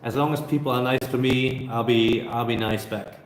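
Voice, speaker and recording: Brief: thirties male travel vlogger, relaxed hesitant live Q&A on a phone, roomy echo, faint background ambience. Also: medium pitch, normal speed, slight background noise. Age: 30s. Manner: relaxed, hesitant with many fillers and restarts. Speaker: travel vlogger answering viewer questions. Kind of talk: casual live stream Q&A. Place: busy hostel co-working space. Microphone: phone camera.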